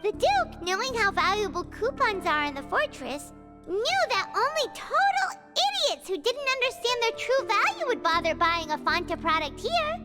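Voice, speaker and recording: A woman voicing a small, excitable, inquisitive childlike sprite companion in a video game. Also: very high voice, normal speed, loud music behind the voice. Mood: explanatory, smug. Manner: emphatic, childlike.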